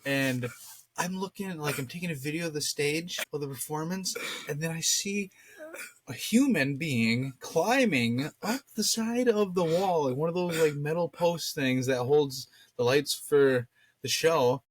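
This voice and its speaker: A young man telling stories in a casual chat, animated and slangy with plenty of swearing and acted-out quotes. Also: fairly high pitch, unhurried. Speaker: co-host.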